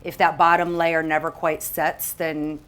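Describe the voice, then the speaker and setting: Upbeat woman with a lowish voice. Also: level tone, unhurried, fairly bright tone. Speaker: makeup artist panelist. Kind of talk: casual panel talk.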